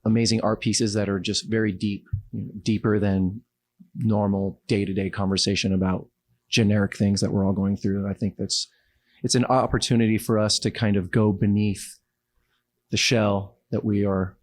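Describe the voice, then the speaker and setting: A man's voice: lowish voice, flat delivery, normal speed, dry recording. A man from Los Angeles with an American accent, talking casually and reflectively as an interview guest. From Los Angeles, United States.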